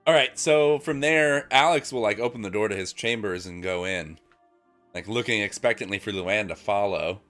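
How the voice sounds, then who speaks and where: A man's voice: fairly low pitch, moderate pace, fairly bright tone, soft background music. A man narrating as game master in a tabletop game, talking casually and filling his sentences with "like". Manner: casual narration, frequent "like" fillers.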